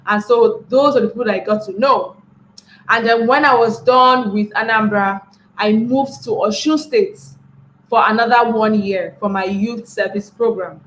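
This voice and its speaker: A woman's voice: on the high side, a bit bright. A young Nigerian woman giving a motivational webinar talk, repeating key phrases and checking in with her listeners by asking "right?". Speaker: webinar speaker. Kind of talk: motivational talk to an online class. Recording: echoey room with faint background noise.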